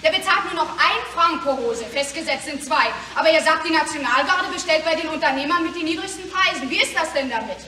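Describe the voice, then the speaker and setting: Bright, feisty working woman. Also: high voice. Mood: insistent. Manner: brisk, insistent, challenging. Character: feisty working-class seamstress pressing demands, plain-spoken. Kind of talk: German radio play acting. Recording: roomy, distant, faint noise.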